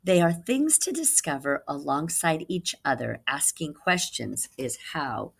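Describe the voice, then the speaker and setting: A woman's voice: sits low, sing-song, measured pace, gravelly, a little hiss or hum. A woman reading a children's picture book aloud as a teacher would, putting dramatic emphasis on key words for young listeners. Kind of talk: expressive children's read-aloud.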